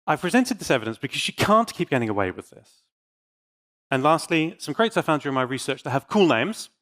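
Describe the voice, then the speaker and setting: A man's voice: fairly high pitch, sing-song, brisk, fairly bright tone. A man giving an informal conference talk on tech in a playful, dryly joking and mock-defiant manner. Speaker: conference speaker.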